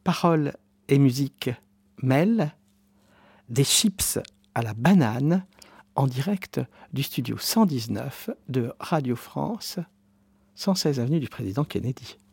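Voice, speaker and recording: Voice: mid-range voice, lively intonation, takes it slowly, gravelly, a little mellow, breathy edge, dry recording. A radio host speaks in a dry, close studio sound. The host mostly gives brief prompts to a guest and then formally announces the next song. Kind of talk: radio interview.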